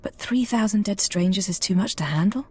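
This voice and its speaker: An actress playing a professional intelligence analyst in a video game, earnest and reserved, with a measured, formal delivery. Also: female voice, medium pitch, a little mellow, breathy edge, close to an everyday voice. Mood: candid, subdued.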